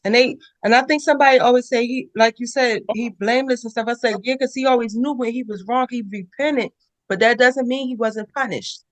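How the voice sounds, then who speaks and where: A woman's voice: on the high side, a little fast, dry recording. A woman taking part in a casual group discussion of scripture. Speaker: participant in a Bible read-along discussion.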